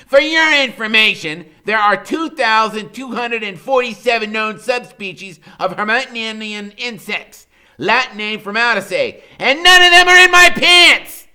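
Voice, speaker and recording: High-pitched, pompous boy genius. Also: animated, a little slow, sharp tone, smooth, heavily acted. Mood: indignant. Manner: pompous, exclamatory, impatient. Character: bossy, bookish, hyperactive, theatrical genius boy. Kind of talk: children's novel character reading.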